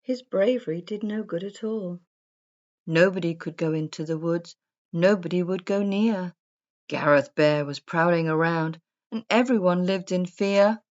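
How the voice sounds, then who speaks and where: A woman narrating a rhyming children's story in a deliberate, sing-song storybook manner. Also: fairly low pitch. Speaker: story reader. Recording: dull, muffled lo-fi sound.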